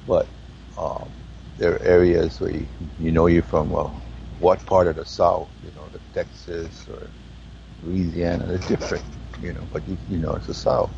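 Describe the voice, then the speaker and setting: A man with a Hawaiian accent talking in a spiritual conversation, slow and halting as he searches for words. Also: low-pitched, little pitch movement, gravelly, a little mellow, lo-fi sound, slight background noise. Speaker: guest.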